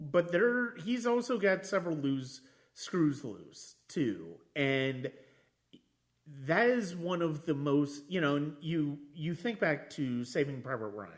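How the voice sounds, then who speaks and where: Slow, halting man discussing films. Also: fairly high pitch. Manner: halting, reflective, often restarting sentences. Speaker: podcast host. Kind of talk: casual film discussion.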